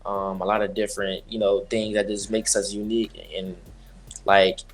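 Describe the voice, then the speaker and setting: A man's voice: lowish voice, little pitch movement, moderate pace. A young man around twenty speaks earnestly in an interview, using frequent fillers. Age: young adult. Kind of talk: casual interview.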